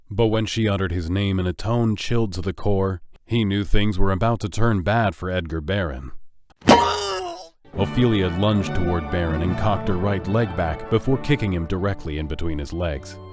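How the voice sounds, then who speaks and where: A man's voice: deep voice, darkish tone. A man narrating a scripted audio drama as its storyteller. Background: music.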